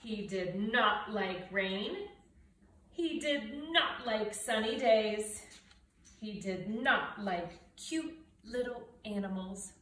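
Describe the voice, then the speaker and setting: A middle-aged woman reading a children's storybook aloud in an animated way, with dramatic emphasis. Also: medium pitch, slow pace. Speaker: storybook reader. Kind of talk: expressive children's read-aloud. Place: indoors, living room by brick fireplace and window. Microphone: camera a few feet away. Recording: roomy, distant mic, faint noise.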